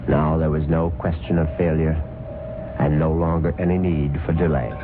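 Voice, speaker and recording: An actor playing a scheming husband who plots to kill his rich wife and narrates it, smooth and falsely affectionate, in a radio mystery drama. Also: a bass voice, little pitch movement, moderate pace. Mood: coolly confiding. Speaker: narrator and lead character. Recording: tinny old radio broadcast with music.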